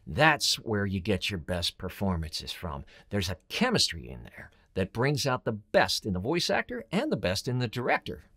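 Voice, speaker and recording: A man's voice: medium pitch, a bit bright. Middle aged guy doing a coaching video, really punches his points, voice goes up and down a lot. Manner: emphatic, rhetorical questions and deliberate pauses. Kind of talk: scripted instructional talk to camera.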